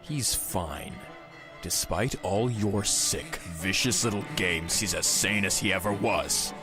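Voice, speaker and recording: Guy doing stern tired masked hero, deep voice, takes his time, comic dub w music behind. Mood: stern defiance. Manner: measured, stern, earnest. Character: grim, stern, weary masked vigilante hero, adult man. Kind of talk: dramatic comic dub voice acting.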